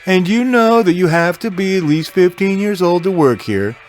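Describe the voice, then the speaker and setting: Man playing a high-voiced, animated game-shop owner. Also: moderate pace, faint music behind. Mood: friendly, chatty. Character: friendly adult male game-store owner, encouraging. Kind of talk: audio drama character dialogue.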